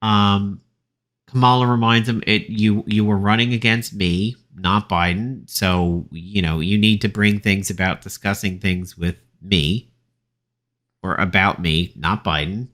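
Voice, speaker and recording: Male political commentator, lower-pitched voice, informal and opinionated, halting delivery working from notes. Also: steady tone, fairly bright tone. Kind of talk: informal debate recap from notes.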